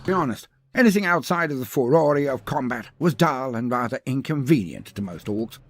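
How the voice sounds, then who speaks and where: A man's voice: medium pitch, lively intonation, brisk. A man narrating a humorous scripted story in a theatrical, wordy, mock-grandiose manner. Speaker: narrator of a lore story video.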